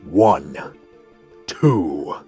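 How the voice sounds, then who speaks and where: A stern army captain played by a man speaks with curt authority. His voice is extremely low, with faint music behind it, in an audio drama. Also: animated, very slow, full character voice. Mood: cold, threatening.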